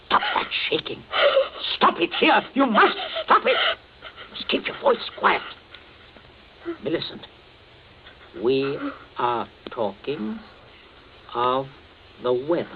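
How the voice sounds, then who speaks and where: A man's voice: medium pitch, exaggerated voice. Stern domineering father, tinny recording. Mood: agitated, snapping. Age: middle-aged. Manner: stern, clipped, commanding. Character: domineering, coldly methodical father interrogating his family. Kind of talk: old radio drama acting. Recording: tinny, noisy old radio recording.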